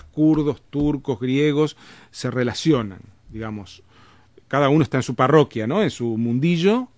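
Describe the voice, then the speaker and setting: A man's voice: mid-range voice, a little mellow, no echo. A man with an Argentine Spanish accent, telling stories in casual conversation, rambling with frequent restarts and tag questions. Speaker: interviewed author.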